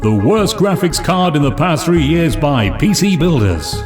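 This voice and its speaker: A man playing a formal quiz show announcer who reads out categories and questions in a measured way. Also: fairly high pitch, big pitch swings. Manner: measured, deliberate. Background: music.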